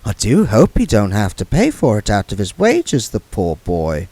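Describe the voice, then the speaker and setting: A man's voice: on the low side, wildly swooping pitch, normal speed, warm tone, no room sound, exaggerated voice. A man voicing a sly old woman witch who plays sweet and naive. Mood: sweetly sly.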